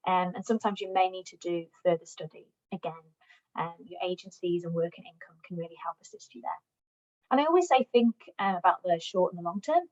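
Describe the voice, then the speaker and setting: A woman's voice: on the low side, fairly quick, a little mellow. A woman giving an encouraging job search presentation to migrants, speaking in a friendly advisory way. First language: English, also Welsh. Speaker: recruitment platform customer success manager giving a job search talk. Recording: dull lo-fi recording.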